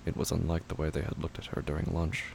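Guy narrating horror story, super gravelly and deep, somber, measured, faint ambient noise behind. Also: monotone, a little mellow. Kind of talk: scripted horror fiction narration.

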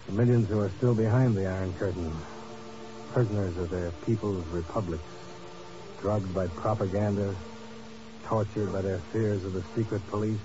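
A man's voice: lowish voice, fairly even intonation, moderate pace, highs cut off, natural voice. A man playing a cool, brave, dryly impatient secret agent in a 1950s radio drama, on an old noisy recording. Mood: grave, steady.

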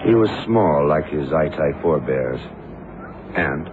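Shrewd detective, extremely deep, deliberate and authoritative, on a noisy old radio broadcast. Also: male voice, animated, narrow, tinny audio. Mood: focused. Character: shrewd, commanding male detective Lee, calm and terse. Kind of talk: 1970s radio crime drama acting.

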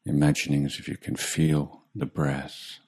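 A man leading a guided meditation as a teacher, speaking calmly in short, measured phrases with long pauses. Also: very deep voice, husky. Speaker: Buddhist monk meditation teacher.

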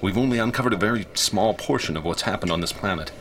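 Low, level-headed male professor. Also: a bit bright, a little hiss or hum. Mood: measured. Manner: measured, matter-of-fact, slightly halting. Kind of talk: radio drama acting.

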